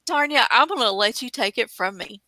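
A woman's voice: fairly high pitch, expressive, a little fast, sharp tone, no room sound. A woman hosting a podcast interview over a remote call, enthusiastic and effusive in casual chat. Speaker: podcast host.

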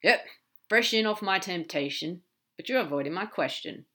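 A brash, irreverent male demon from an audiobook, as a woman narrator plays him, speaks in a lowish voice. He is blunt and casual, hitting his words with emphasis, protective and fond underneath. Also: lively intonation, moderate pace, pushed and bright. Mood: breezy, insistent. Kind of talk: audiobook character dialogue.

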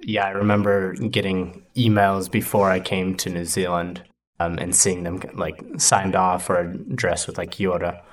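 Young man, from the United States, low voice, laid-back, hesitant casual chat. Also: little pitch movement, normal speed, a little hiss or hum. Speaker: guest.

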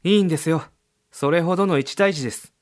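A man's voice: on the high side, fast, natural voice. Man playing a lighter-voiced, courteous young nobleman. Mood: calm. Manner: formal, courteous. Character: polite, secretly scheming young noble younger brother. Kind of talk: voice drama acting. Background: background music.

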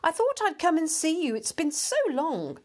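Male town mouse for a storybook: brash, worldly, bossy, higher-pitched, acted out by a woman. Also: very animated, a little slow. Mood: cheery. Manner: bossy, scoffing. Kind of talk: children's storybook character voice.

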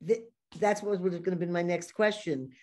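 Podcast host, woman, New York accent, lowish chatty voice. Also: moderate pace, darkish tone. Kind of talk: casual interview conversation.

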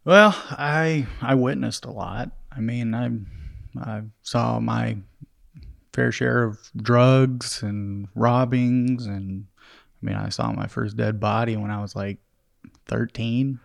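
A man's voice: mid-range voice, fairly even intonation, takes it slowly, gravelly, dry recording, studio quality. A young man from Costa Rica, a podcast guest telling candid stories in casual talk and laughing often. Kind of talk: casual conversation.

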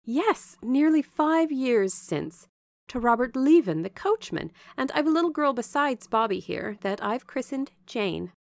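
A warm-hearted young servant woman chatters away in a classic storybook, acted out by a woman narrator. Her voice is lifted high, and her manner is lively, familiar and full of gossip. The recording is dry. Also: unhurried, gravelly, no echo, heavily acted. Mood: cheerful. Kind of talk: storybook character dialogue.

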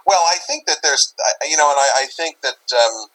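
A man's voice: on the high side, moderate pace, bright, forceful tone, poor audio. A man talking casually in an interview, rambling and circling back over his phrases as he explains. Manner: rambling, repeats phrases, often says "you know". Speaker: interview guest, paranormal investigator.

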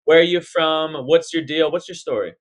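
A man's voice: fairly high pitch, moderate pace. A young man hosting a casual podcast interview, laid-back and slangy, rambling loosely as he asks questions. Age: young adult. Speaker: podcast host.

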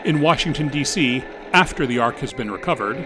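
Animated man narrating over soft music. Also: medium pitch, brisk. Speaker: humor column narrator. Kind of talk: scripted comedic narration.

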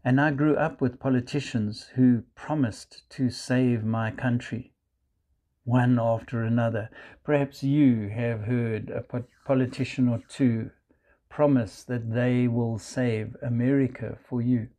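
A man with a South African accent, preaching a measured sermon and quoting scripture. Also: mid-range voice, steady tone, warm tone. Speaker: pastor.

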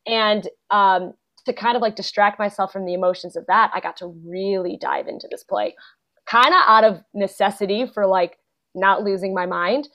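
A young adult woman, a guest actor and playwright, chatting casually in an interview, full of fillers and quick laughs. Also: mid-range voice, steady tone, fairly bright tone. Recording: dull lo-fi podcast audio.

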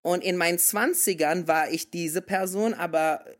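A man's voice: sits high, normal speed. A man in his thirties, a native German speaker, chatting casually and slipping into English phrases. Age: 30s. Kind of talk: casual conversation.

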